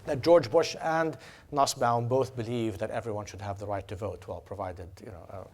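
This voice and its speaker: A man's voice: lowish voice, normal speed. A man from Jordan, a lecturer answering audience questions, talking with many fillers, repeated words and restarts. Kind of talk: academic Q&A answer. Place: lecture hall.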